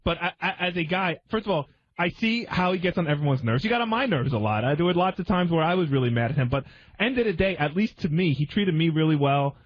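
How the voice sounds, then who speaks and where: A man speaks quickly in a fairly high voice during a casual radio discussion. He hedges and doubles back on his phrases. The sound is a bit dull and lo-fi. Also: expressive. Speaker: radio show staff member. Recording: dull, muffled lo-fi radio audio.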